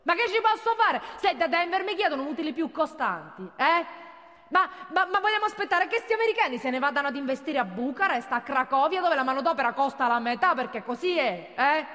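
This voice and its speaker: An actress playing a condescending, defensive corporate boss arguing sarcastically in a theatrical radio monologue. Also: female voice, high-pitched, expressive, fairly quick, bright, forceful tone. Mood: defensive, exasperated. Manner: condescending, sarcastic, rhetorical questions. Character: haughty, defensive female corporate executive, condescending and combative. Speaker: company managing director addressing union members. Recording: long hall reverb of a stage.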